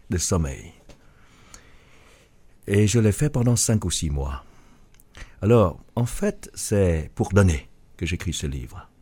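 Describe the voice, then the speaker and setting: A middle-aged man from Vietnam answers interview questions earnestly, often restarting his sentences. His low, mellow voice moves with lively intonation. A slight huskiness runs through it. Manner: earnest, with frequent restarts and stumbles. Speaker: guest author and business leader.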